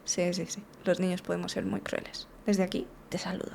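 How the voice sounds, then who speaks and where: A woman's voice: lowish voice, little pitch movement, a little fast, creaky, darkish tone, slight background noise. Frank woman recounting her story. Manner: candid, frank, with many restarts and tag questions. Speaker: therapist, guest. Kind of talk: personal storytelling in an interview.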